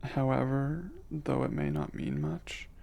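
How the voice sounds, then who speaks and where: A man voicing a curious, emotionally reserved alien scientist in an intimate ASMR roleplay, speaking formally and precisely, with hesitant, measured diction. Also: mid-range voice, wildly swooping pitch, vocal fry, warm tone, heavily acted. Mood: apologetic, formal.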